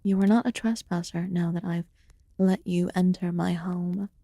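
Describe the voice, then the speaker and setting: A woman voicing an aloof, curious fae witch healer in a fantasy ASMR roleplay, speaking formally and softly, often dropping into a whisper. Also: fairly low pitch, steady tone, gravelly, dry recording, clean studio sound. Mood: calm, solemn. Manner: formal, archaic, deliberate phrasing.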